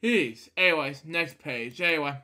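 A man reading a bedtime storybook aloud in a halting way, often breaking off to comment. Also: on the high side, sharp tone. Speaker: storybook reader. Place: indoor room at a computer.

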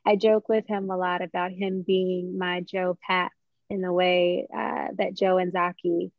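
A woman's voice: fairly low pitch, level tone, measured pace, no echo. A young woman answering interview questions reflectively, searching for words as she goes. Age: young adult. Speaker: playwright, interview guest. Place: indoors in a room at home. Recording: dull, dry video-call audio.

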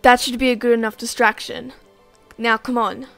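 A woman's voice: a little high, flat delivery, moderate pace, gravelly, a bit bright, no room sound, faint music behind. A woman voice acting a questioning, determined girl on a spaceship in an amateur game roleplay.

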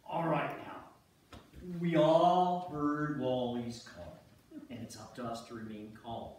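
A man playing a calm, reassuring group leader in a staged play reading. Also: medium pitch, slow pace, slight background noise, lightly acted. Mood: steady, reassuring. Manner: steady, reassuring. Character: calm take-charge man leading stranded campers. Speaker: amateur reader's theater performer. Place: church sanctuary stage before an audience. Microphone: far audience camera. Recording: distant, very echoey church hall.

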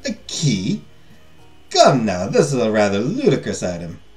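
A man's voice: mid-range voice, huge pitch swings, measured pace, darkish tone, faint music behind. A man voicing a grumpy, jaded king from a storybook, imperious and dismissive. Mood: scornful. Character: haughty, jaded, grumpy king who scorns magic. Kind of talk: storybook character reading.

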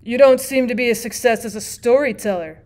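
A hard, dryly mischievous storybook bachelor , as a woman narrator reads him. The voice is slightly high. He is blunt and deliberate in his storytelling. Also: darkish tone, close to an everyday voice.

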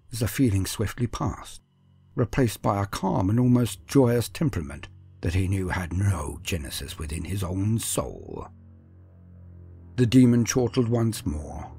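A man narrating dark fantasy horror tales in a grave, theatrical manner, often dropping to hushed phrase endings. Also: low voice, husky. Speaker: storyteller narrator.

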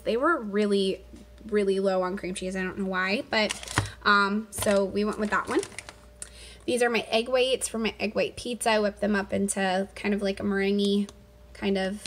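A woman's voice: medium pitch, fairly bright tone, a little hiss or hum. A woman chatting casually as she narrates grocery items for a home vlog, speaking from behind the camera. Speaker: vlogger showing a grocery haul.

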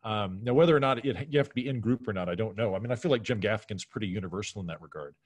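A man's voice: fairly low pitch, rapid-fire, no echo. A middle-aged man speaking casually as a podcast guest, in a discursive, analytical way. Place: small furnished room at home, video call.

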